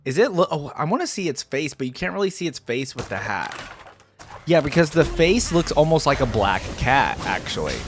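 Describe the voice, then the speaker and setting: A man's voice: fairly high pitch, very animated, brisk, noisy background. A man hosting a casual reaction commentary, speaking informally and musing aloud. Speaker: reaction video host.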